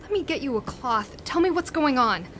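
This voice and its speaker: Actress as steady student friend, radio drama, earnest, reasoning, moderately high pitch. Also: female voice, moderate pace, noisy background. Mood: concerned. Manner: earnest, reassuring. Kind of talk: dramatic radio play acting.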